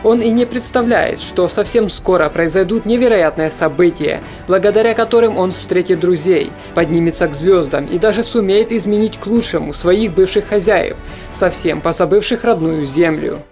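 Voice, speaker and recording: A narrator reading a scripted countdown of animated films in a steady, informative voice-over. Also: mid-range voice, moderate pace, few pauses, soft background music. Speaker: film channel narrator.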